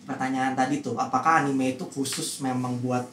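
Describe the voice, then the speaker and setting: A man's voice: mid-range voice, little pitch movement, fairly quick, fairly bright tone. A man speaking as a blunt, opinionated host in a casual podcast discussion. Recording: roomy echo, distant mic, faint noise.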